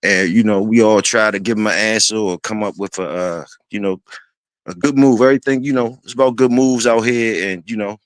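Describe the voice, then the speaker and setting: Laid-back man in his fifties, low voice. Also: fairly quick, dry recording. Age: 50s. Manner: laid-back, rambling, many fillers and restarts; clears throat often. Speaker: host. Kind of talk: casual reflective talk.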